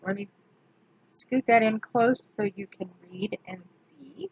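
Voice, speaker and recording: A woman, slightly hoarse from allergies, chatting casually through a makeup product review and correcting herself as she goes. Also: mid-range voice, dull audio. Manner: chatty, self-correcting, slow and deliberate. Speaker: beauty vlogger.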